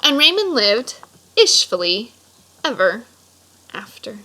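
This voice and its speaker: A woman reading a children's picture book aloud expressively, pausing for casual explanatory asides to young listeners. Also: a little high, sing-song, sharp tone, rough recording. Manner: explanatory, stops to clarify words for children. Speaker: read-aloud storyteller.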